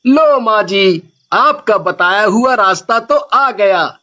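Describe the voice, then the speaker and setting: A man's voice: sits high, animated, slow, bright, forceful tone, lo-fi sound, exaggerated voice. A man playing a polite, easily frightened young man in a storybook tale, speaking deferentially. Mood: polite, concerned.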